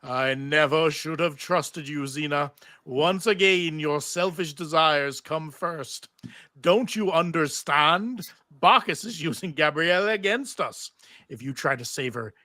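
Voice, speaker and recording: A man playing a bitter, urgent male figure who scolds his companions in slow, drawn-out phrases at a fantasy script reading. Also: a little high, pushed and bright, dry recording, studio quality. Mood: bitter, scolding. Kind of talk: live table read of a TV fantasy script.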